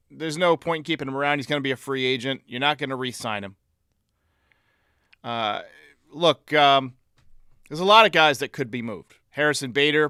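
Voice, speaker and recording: A male sports podcaster with a fairly high, forceful voice gives his opinions bluntly and with sarcasm. The sound is dry and close, with very little room around his clear delivery. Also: no echo. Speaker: host of a baseball fan podcast.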